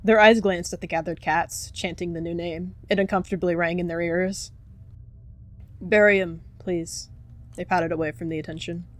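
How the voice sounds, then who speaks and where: A woman's voice: fairly low pitch, fairly even intonation, a little fast, hardly any pauses. A woman narrating a dramatic roleplay story as a storyteller.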